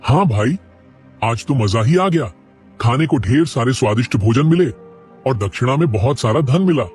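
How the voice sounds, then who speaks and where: A man voicing a village priest in a cartoon who speaks in a proper, expressive way. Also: mid-range voice, normal speed, close to an everyday voice. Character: proud middle-aged male village priest. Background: faint music.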